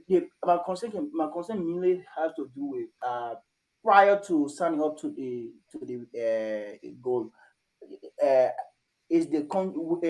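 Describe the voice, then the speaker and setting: Guy from liberia on a call, kinda high mellow voice, lots of uhs. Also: low-quality recording. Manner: halting, many uh fillers. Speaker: summit participant. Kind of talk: casual online discussion.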